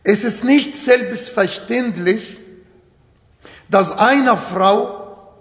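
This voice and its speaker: A middle-aged Arabic-accented preacher with a fairly high voice gives a slow, emphatic sermon in imperfect German. The sound is dull and carries a long hall reverb, as in a church hall. He is a native Arabic speaker. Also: fairly bright tone.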